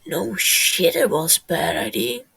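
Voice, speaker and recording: A woman voicing a gruff, protective man in an audio story, curt and exasperated. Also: fairly low pitch, a little slow, a bit bright, exaggerated voice, low-quality recording.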